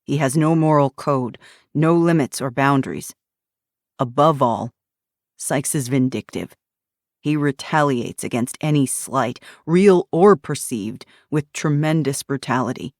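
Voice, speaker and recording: Woman reading grim guarded man, low and slow, dry close sound, serious urgent warnings. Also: darkish tone, no room sound. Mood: grave. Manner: grave, measured, urgent warnings.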